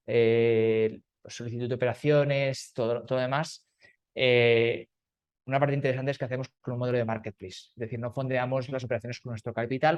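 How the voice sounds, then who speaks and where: A man from Spain, a startup founder speaking as a guest, talking loosely with fillers and restarts over a call. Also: medium pitch. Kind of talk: conversational interview. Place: remote call.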